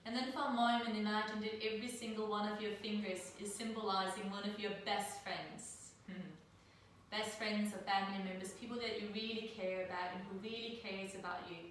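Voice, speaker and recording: A woman's voice: mid-range voice, little pitch movement. Danish-born woman teaching yoga in an echoey room. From Denmark. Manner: soft, soothing guidance with slow drawn-out cues. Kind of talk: guided yoga class. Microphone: laptop mic at a distance. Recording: very echoey room, distant mic, faint noise.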